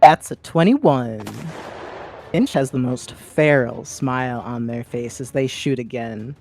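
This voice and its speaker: A woman's voice: a bass voice, expressive, slow, a little mellow, soft background music. A woman playing a tabletop roleplaying game, narrating what her character does.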